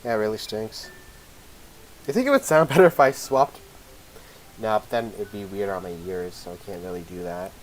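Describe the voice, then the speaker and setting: A man's voice: on the low side, lively intonation, moderate pace, long pauses, slight background noise, rough recording. A young man chatting casually on a game livestream into a headset mic, rambling and self-deprecating, his voice a little off after being sick. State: voice slightly off after a recent illness. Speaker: game streamer.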